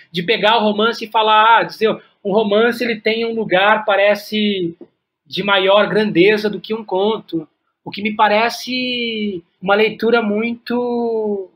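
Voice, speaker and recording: Brazilian guy being interviewed, thinking out loud, repeats himself a lot, kinda halting. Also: sits high. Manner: halting, repeats words while thinking aloud. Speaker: guest playwright and director. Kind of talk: reflective interview conversation.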